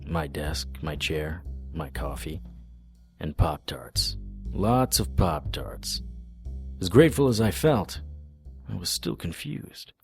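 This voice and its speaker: An actor playing a wisecracking, world-weary occult investigator, narrating and trading lines in a noir-style audio drama. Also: male voice, extremely low pitch, slow pace, gravelly, pauses often, a little mellow, soft background music, heavily acted. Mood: wry narration. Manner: sardonic, flippant.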